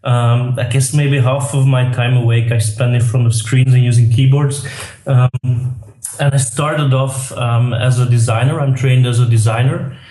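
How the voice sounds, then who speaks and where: A man in his thirties with a Belgian accent answering interview questions, hesitant with many pauses. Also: mid-range voice, fairly even intonation, warm tone. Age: 30s. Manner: hesitant, many "um"s, laughs lightly. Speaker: guest, tech co-founder. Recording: echoey room.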